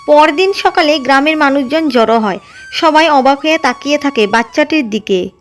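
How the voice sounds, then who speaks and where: নারী কথক উঁচু গলায় কার্টুন গল্প বলছেন. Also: female voice, high-pitched, lively intonation, moderate pace. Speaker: narrator and voice of Seema. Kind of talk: storybook cartoon narration.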